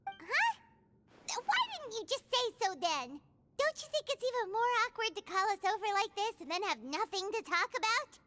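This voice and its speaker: A woman voicing a tiny, childlike fairy companion in a video game, squeaky, chatty and blunt, talking in exclamations. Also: very animated, moderate pace, bright, forceful tone, exaggerated voice. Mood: blunt, awkward. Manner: refers to self in third person, exclaiming. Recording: music behind the voice.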